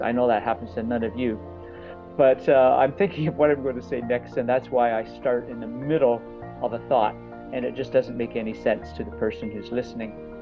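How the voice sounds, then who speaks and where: A middle-aged man, a coach, giving a personal testimonial in a halting, reflective way with pauses mid-thought. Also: mid-range voice. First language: English. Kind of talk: personal testimonial talk to an audience. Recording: slightly dull audio with music underneath. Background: music.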